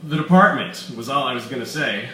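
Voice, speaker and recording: A man's voice: medium pitch, lively intonation, brisk, bright, forceful tone. A man voicing a big, tipsy, flippant action hero of forty in a story reading, protesting cockily, in an echoey room. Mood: exasperated. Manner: protesting, cocky. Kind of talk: live short-story reading, character dialogue. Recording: echoey room, distant mic, background noise.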